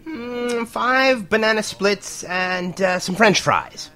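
A man playing an excitable, secretive time-travelling professor in an audio drama. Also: high-pitched, slow, faint music behind, exaggerated voice. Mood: hesitant.

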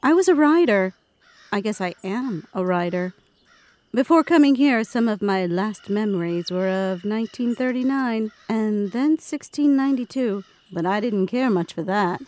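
A woman's voice: mid-range voice, expressive, moderate pace, darkish tone, dry recording, lightly acted. An actress playing a friendly, easygoing woman writer and time traveller in an audio drama. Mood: matter-of-fact.

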